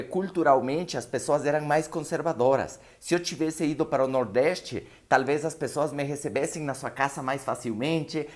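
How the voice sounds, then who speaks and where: A motivational speaker, a man whose first language is Spanish, talks to a live audience. His accent carries Spanish-speaking sounds. He tells his story emphatically and keeps checking that the listeners are following him. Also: medium pitch. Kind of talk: live motivational seminar talk.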